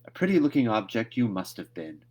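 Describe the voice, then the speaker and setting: A stern, vexed older woman from a storybook, read by a man in his own low register. She speaks briskly and with exasperation, as if keeping a child in line. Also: very animated, darkish tone. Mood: exasperated.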